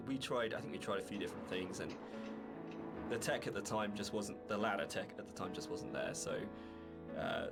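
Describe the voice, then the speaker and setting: A man speaking as a game developer, giving informal, reflective commentary in a halting way. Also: on the low side. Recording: loud guitar music under the voice. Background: prominent plucked guitar music.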